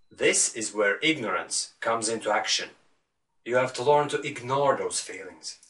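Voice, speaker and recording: A man's voice: mid-range voice, bright, forceful tone, distant mic. A man from Latvia with a Latvian accent, coaching viewers in English in an earnest video lesson and putting rhetorical questions to them.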